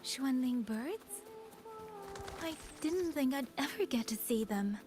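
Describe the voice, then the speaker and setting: An actress playing a gentle, pensive young woman in a game, speaking in a hushed, hesitant, wondering way. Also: high-pitched, big pitch swings, slow pace, heavily acted. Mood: tender wonder. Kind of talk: scripted game dialogue. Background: music.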